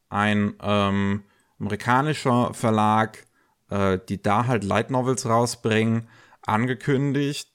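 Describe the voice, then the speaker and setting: A man with a cold, hosting a podcast and chatting casually about news, with many fillers and restarts. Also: mid-range voice. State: has a cold. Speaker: podcast host.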